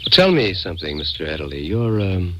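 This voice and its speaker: An actor playing a haunted, guilt-ridden man who narrates a radio suspense tale in a brooding, deliberate, formal way. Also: sits low, very animated, dull audio, background noise. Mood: suspicious. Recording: old radio recording with music.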